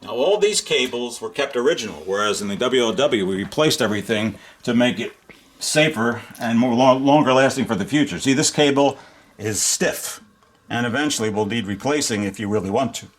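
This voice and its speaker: A man's voice: medium pitch, expressive, brisk. Man casually explaining vintage equipment. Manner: explanatory, technical. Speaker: antique radio collector demonstrating his sets. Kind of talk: casual unscripted demonstration. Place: inside a home.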